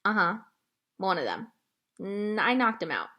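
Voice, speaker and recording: A woman voicing a shy, lonely, gentle male pet-store clerk from a storybook, with halting, folksy talk. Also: sits high, sing-song, exaggerated voice. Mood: earnest, plain.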